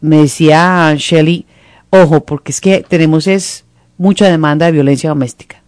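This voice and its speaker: A host interviewing a guest in a conversational way and slowly dictating phone numbers for listeners. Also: medium pitch, fairly even intonation, a little mellow, dry recording, studio quality.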